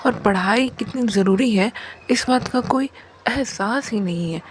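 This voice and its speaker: A woman's voice: on the high side, measured pace, natural voice. Exasperated cartoon mother, voiced by a woman, faint noise. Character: exasperated, scolding village mother of a truant daughter. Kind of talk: Hindi moral story cartoon dubbing.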